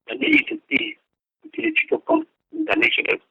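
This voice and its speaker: A man's voice: very high voice, fairly even intonation, normal speed, gravelly. A man speaking conversationally as a participant on a telephone conference call. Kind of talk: conversational speech on a group call. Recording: dull, lo-fi telephone conference line.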